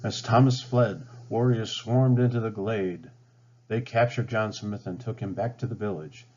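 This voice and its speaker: A man with a low, husky voice narrates a children's storybook. His reading is expressive and dramatic, on a slightly dull recording. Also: a little slow. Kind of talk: storybook read-aloud narration. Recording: slightly dull, band-limited sound.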